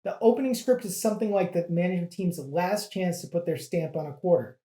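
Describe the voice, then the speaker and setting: A presenter giving an educational explanation in a measured, plain-spoken way, like a guide for learners. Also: mid-range voice, animated, mellow, echoey room.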